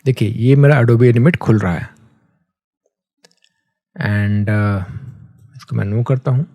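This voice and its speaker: A man with an Indian accent giving a casual, conversational software tutorial, explaining step by step and mixing in English terms. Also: mid-range voice, takes it slowly, dry recording. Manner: conversational, mixes English terms, often checks in with a tag phrase.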